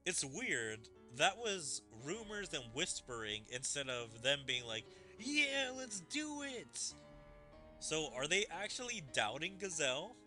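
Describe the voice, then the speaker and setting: Very bright-voiced man commentating a game. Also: fairly high pitch, sing-song, a little slow, hardly any pauses. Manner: analytical, puzzles over wording aloud. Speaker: gaming streamer. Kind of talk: let's play commentary, reading dialogue aloud. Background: video game music.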